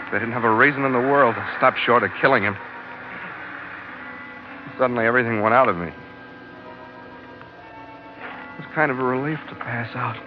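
A man's voice: medium pitch, natural voice. A man playing a tough American sea captain narrating a 1940s radio adventure in clipped, hard-boiled, dramatic fashion. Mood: weary, dazed. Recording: muffled old radio broadcast with music.

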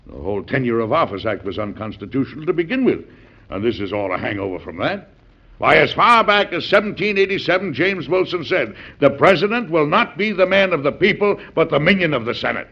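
An actor playing a stubborn, combative president in an old-time radio drama, speaking in an emphatic, oratorical way. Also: male voice, a little high, very animated, fairly quick, breathy edge, exaggerated voice. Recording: dull, lo-fi vintage radio recording.

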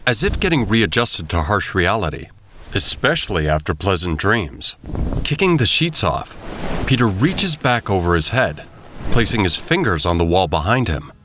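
A man narrating a scripted audio drama as a measured, descriptive storyteller. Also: low voice, big pitch swings, a bit bright, slight background noise. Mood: storytelling.

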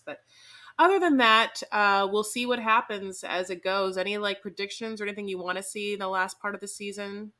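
A woman co-hosting a podcast in casual chat, animated and talkative, often calling people honey. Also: medium pitch. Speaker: podcast co-host.

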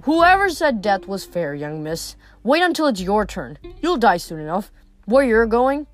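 A woman's voice: mid-range voice, very animated, unhurried, no room sound. A woman reading an audiobook voices a dry, greedy old male ferryman of the dead, with faint music behind. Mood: menacing. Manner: dry, sardonic, haggling.

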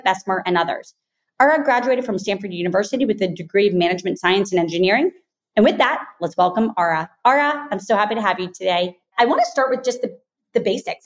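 Upbeat woman podcast host. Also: mid-range voice. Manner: upbeat, polished delivery. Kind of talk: scripted intro and ad read. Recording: faint music under the voice.

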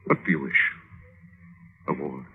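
An actor playing a proud, suspicious warrior husband, speaking in a measured, imperious radio-drama manner. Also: male voice, rumbling low, a little hiss or hum. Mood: challenging. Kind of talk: 1950s radio drama acting. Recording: tinny old radio recording.

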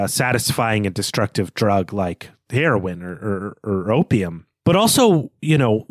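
A man's voice: on the low side, no room sound, clean studio sound. A man co-hosting a podcast, casually discussing literature and thinking aloud in a tentative way. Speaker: podcast co-host.

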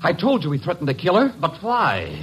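Very raspy, indignant suitor. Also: male voice, fairly high pitch, huge pitch swings, a little fast, full character voice. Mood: indignant, wounded. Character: indignant, infatuated male suitor, wounded and disbelieving. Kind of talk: 1950s radio drama acting.

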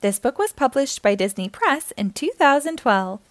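A woman hosting a children's storytime, reading aloud in an animated, encouraging way and speaking directly to young listeners. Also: high-pitched, moderate pace, dry recording.

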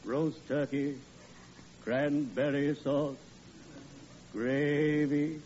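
Morbid undertaker, cheerful and suave, slightly high, old hissy radio recording. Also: male voice, steady tone, drawn-out words, darkish tone, lo-fi sound. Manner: gloomy puns delivered sweetly, suave and drawling. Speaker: undertaker character in a radio comedy. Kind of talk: 1940s radio acting.

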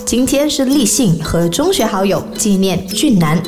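一位带马来西亚华语腔的女性，以讲故事的方式念广播剧旁白。. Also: female voice, mid-range voice, expressive, normal speed, music in the background. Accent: Malaysian Chinese-language. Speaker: radio drama narrator. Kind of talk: scripted storytelling narration.

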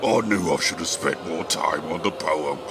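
An actor playing a vain, easily wounded dog poet in a comedic audio drama, reciting in a theatrical, ponderous way. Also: male voice, a bass voice, normal speed, very noisy. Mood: distraught.

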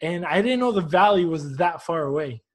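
A man's voice: on the high side, animated, moderate pace. A man from Houston hosting a casual, informal soccer interview show, chatting in friendly slang. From Houston, United States.

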